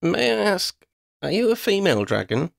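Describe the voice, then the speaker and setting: A man's voice: high voice, sing-song, normal speed, gravelly, dry recording, clean studio sound, pitched above the performer's own voice, full character voice. A man reading a story, voicing a young woman heroine in a raised, defiant voice. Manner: indignant, challenging.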